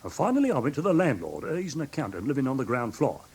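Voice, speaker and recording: Flustered husky English pawnbroker, lively pitch. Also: male voice, rapid-fire. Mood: aggrieved. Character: indignant, simple-minded London pawnbroker, wronged and fussy. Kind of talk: classic British radio drama acting.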